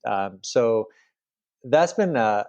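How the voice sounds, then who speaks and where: A middle-aged man from Canada speaking as a guest author, relaxed and rambling, often restarting his phrases. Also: medium pitch, extremely slow. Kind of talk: interview conversation.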